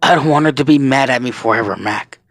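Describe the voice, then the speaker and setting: A man's voice: mid-range voice, fast talker, music in the background, pitched above the performer's own voice, lightly acted. Casting: a man playing a hurt, emotional tomboy girl, voice lifted, for a cartoon fan story. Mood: upset. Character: distressed, croaky-voiced tomboy teenage girl, ranting and hurt.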